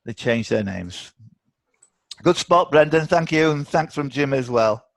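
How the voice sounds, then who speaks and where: A man's voice: on the high side, lively intonation, unhurried, creaky, no echo. Man hosting a quiz, dry close sound. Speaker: quiz host. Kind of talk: live quiz hosting, reading questions aloud. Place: online video call.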